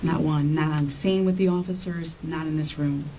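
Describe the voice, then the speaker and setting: A woman's voice: sits low, fairly even intonation, normal speed, dark tone. A woman working as a police detective, confronting a suspect in a stern, accusatory, repetitive way, recorded from a distance in an interview room with noise.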